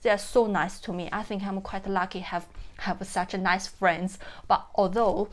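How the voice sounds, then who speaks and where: A woman's voice: medium pitch, steady tone, unhurried. A young woman from China with a Chinese accent, giving casual travel tips to camera from her sofa, chatty and prone to restarting her phrases. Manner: chatty, repeats and restarts phrases, non-native grammar. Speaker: vlogger. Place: living room, seated on a leather sofa. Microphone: camera in front, framed from the chest down.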